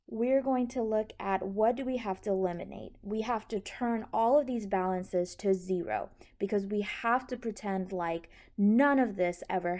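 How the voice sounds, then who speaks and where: A woman's voice: medium pitch, warm tone. A woman instructor patiently explaining a tutorial step by step, often checking that the listener is following. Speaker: accounting instructor.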